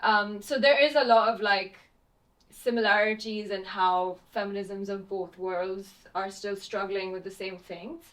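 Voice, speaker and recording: A young woman from Pakistan, a playwright, answering interview questions in a thoughtful, halting way with many fillers. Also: medium pitch, steady tone, fairly bright tone, low-quality recording. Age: young adult. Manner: hesitant, many fillers and restarts.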